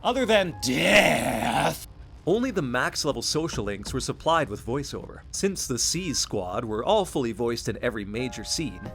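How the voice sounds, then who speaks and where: A man's voice: mid-range voice, sing-song, moderate pace, gravelly, a bit bright, faint music behind. A man narrating a scripted video essay as a channel host, enthusiastic and given to conversational asides.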